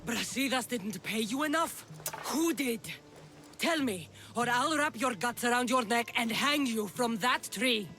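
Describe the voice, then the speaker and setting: A woman's voice: fairly high pitch, lively intonation, unhurried, pushed and bright, soft background music. An actress playing a fierce, foul-mouthed woman mercenary in a game cutscene, who talks bluntly and threatens freely. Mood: threatening. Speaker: mercenary hero.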